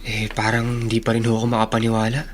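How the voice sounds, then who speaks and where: An actor playing a respectful, troubled young son and student in radio drama dialogue, speaking hesitantly. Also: male voice, mid-range voice, monotone, quick pace, a little hiss or hum, close to an everyday voice. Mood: pensive.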